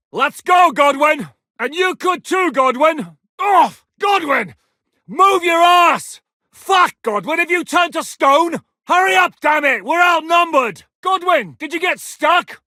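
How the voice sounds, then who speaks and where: An actor playing a battle-hardened warlord commander who gives blunt, commanding orders and swears freely in the fight, recorded in a dry studio. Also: male voice, extremely high pitch, sharp tone, dry recording, heavily acted. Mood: battle-roaring. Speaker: military commander character. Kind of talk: video game voice lines.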